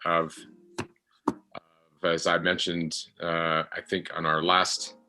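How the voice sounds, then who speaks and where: A middle-aged man with a low voice that has a bright, forceful edge. He talks reflectively as an interview guest, pausing often and repeating phrases in earnest emphasis. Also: completely flat delivery. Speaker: voice actor guest.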